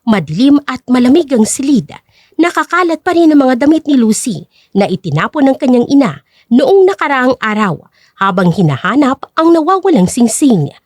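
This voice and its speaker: Woman narrating a drama story, highish voice w lots of pitch movement, super dry recording. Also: very animated, normal speed, a little mellow, dry recording.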